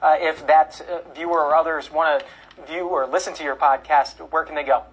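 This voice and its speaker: A woman hosting a call-in talk show, introducing callers briskly. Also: low voice, steady tone, breathy edge, low-quality recording.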